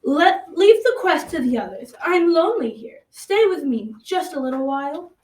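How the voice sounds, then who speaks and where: High, echoing, beckoning water spirit, played by a girl. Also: big pitch swings, a little mellow. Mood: pleading. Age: child or teen. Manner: beckoning, drawn-out. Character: alluring, lonely female water spirit of a spring. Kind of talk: amateur school radio drama. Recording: echoey, distant mic, faint noise.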